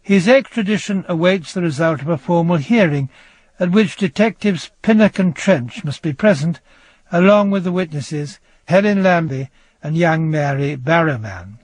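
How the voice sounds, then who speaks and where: A man narrating a true-crime radio drama in a grave, measured, literary style. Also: on the high side, animated, no room sound. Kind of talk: scripted dramatic documentary narration.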